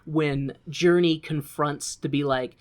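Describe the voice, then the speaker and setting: Podcast cohost with a medium pitched voice, chatting casually and animated about a movie. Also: takes it slowly. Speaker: podcast co-host. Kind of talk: casual chat recapping a film.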